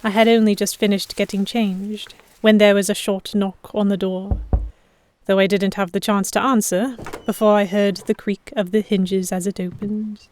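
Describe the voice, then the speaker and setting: A woman playing a stubborn, sharp-tongued captive of pirates, narrating an audio drama in the first person. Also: medium pitch, level tone, normal speed, slight background noise, natural voice. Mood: puzzled, wary.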